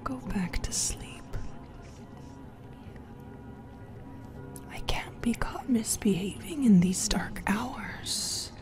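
A sinister female sleep paralysis demon played by a woman whispers in a breathy, intimate voice. She speaks very slowly, teasing and possessive, soothing while she menaces. Music plays clearly behind this ASMR horror roleplay. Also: medium pitch, darkish tone, natural voice. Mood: eerie, coaxing. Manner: slow, intimate, menacingly tender.

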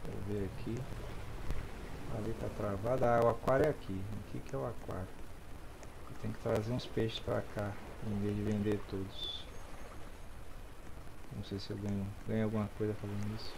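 A man's voice: fairly low pitch, little pitch movement, moderate pace, long pauses. A middle-aged man with a Northeastern Brazilian accent doing casual, rambling gameplay commentary, often talking to himself. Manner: rambling, reading signs aloud and questioning himself. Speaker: gaming video host. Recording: clearly noisy home recording.

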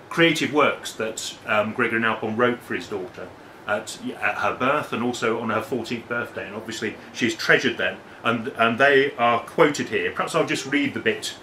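A man's voice: medium pitch, bright, forceful tone, slight background noise. A man in his forties, an academic, reading aloud at a book launch, with hesitant, self-correcting asides between passages. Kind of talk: public reading with casual asides. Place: bookshop, indoors. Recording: roomy echo from far off.

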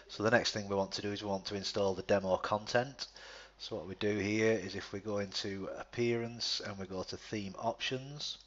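A man with a low, even voice guides viewers through a software setup. He talks step by step, often restarting and filling gaps with "uh". The voice-over sounds a little dull and lo-fi. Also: normal speed, hardly any pauses. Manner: step-by-step, many restarts and fillers. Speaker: tutorial presenter. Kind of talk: screen-recorded software tutorial.

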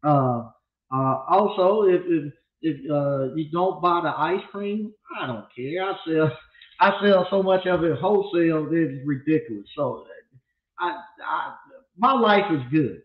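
A man's voice: on the high side, expressive, unhurried. A man in his fifties hosting a casual livestream from a small home studio, talking bluntly in a loose, rambling way with lots of restarts. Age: 50s. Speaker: appliance repair instructor and channel host. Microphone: desk mic on a boom arm. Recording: echoey room, tinny phone-like sound.

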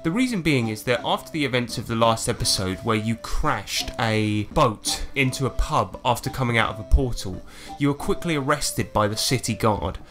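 A man's voice: mid-range voice, lively intonation, normal speed, hardly any pauses, a bit bright, soft background music. A man narrating a tabletop roleplaying game as its game master, setting scenes informally.

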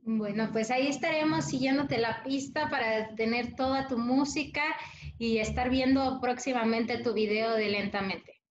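A woman's voice: a little high, moderate pace. A young woman journalist hosting an entertainment interview, friendly and asking questions with fillers over a video call. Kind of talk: radio news interview. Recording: echoey, dull room with faint noise.

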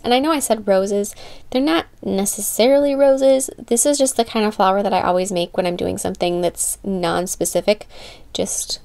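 A woman's voice: medium pitch, a little mellow, smooth. Casual woman narrating a craft tutorial. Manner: chatty, informal, self-correcting. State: slightly losing her voice. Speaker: nail art tutorial host. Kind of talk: casual how-to voice-over narration.

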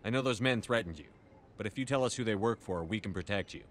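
An actor playing a stern, no-nonsense military commander in a video game, terse and firm. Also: male voice, lowish voice, fast, a little hiss or hum, natural voice. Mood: firm, probing. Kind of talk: video game dialogue acting.

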